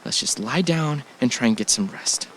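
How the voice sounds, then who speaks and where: A man's voice: medium pitch, lively intonation, moderate pace, fairly bright tone, slight background noise, natural voice, rough recording. A man playing a wary, reluctant partner in an audio drama, in an everyday voice. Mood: uneasy, baffled. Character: wary, reluctant male partner of an adventurous woman.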